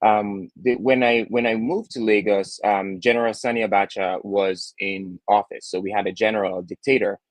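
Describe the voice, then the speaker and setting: A young man from Nigeria speaks as a podcast guest in a low, dry voice. He talks earnestly, circling back with fillers and restarts as he gathers his thoughts. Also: fairly even intonation, normal speed, hardly any pauses, dry recording, poor audio. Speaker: startup founder, guest. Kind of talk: casual interview talk.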